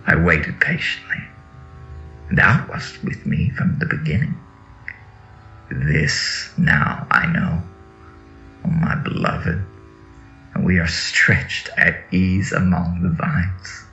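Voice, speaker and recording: A man with a very low, creaky voice recites scripture in a slow, solemn and theatrical way. His tone is forceful and bright-edged, with drawn-out, incantatory phrases that swell for emphasis. The recording is a little dull, with music behind him. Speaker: reader. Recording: dull lo-fi audio with music underneath.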